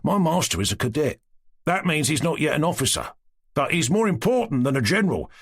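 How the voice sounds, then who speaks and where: A man's voice: high-pitched, animated, moderate pace, voice pushed up. A man doing a cheerful, boastful young servant. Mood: eager. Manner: gentle, boastful.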